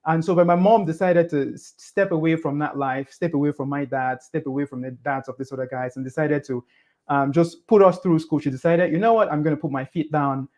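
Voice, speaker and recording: A man, a podcast guest, telling his life story in a casual, animated way, pushing his voice up on the points he stresses. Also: a little high, a little fast. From Cameroon.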